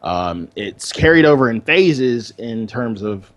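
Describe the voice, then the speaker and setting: A man co-hosting a casual gaming podcast, speaking informally in halting phrases with dry understatement. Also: mid-range voice, big pitch swings. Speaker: podcast co-host.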